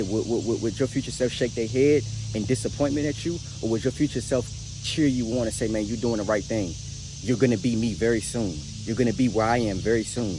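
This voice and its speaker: An American man in his late twenties giving a casual motivational talk straight to the audience, emphatic and slangy, repeating words and phrases for weight. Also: medium pitch. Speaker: motivational vlogger. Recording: noisy recording with clear background noise.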